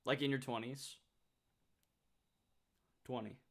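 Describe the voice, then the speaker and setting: A nineteen-year-old man chatting casually on a livestream, reading out viewer comments, excitable and swearing freely. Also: medium pitch, slow pace, vocal fry.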